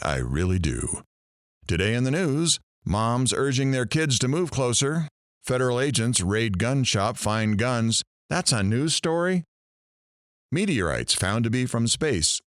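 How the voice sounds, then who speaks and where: An actor playing a brash, sarcastic morning radio host in an audio drama, with showy patter. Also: male voice, medium pitch, dry recording, studio quality.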